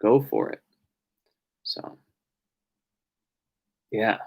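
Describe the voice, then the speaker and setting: A young man chatting casually on a live stream and answering viewer questions, rambling and correcting himself, his voice growing raspy from talking for an hour. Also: fairly low pitch, wildly swooping pitch, drawn-out words. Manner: rambling, self-correcting, trailing off quietly. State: voice getting raspy from long talking.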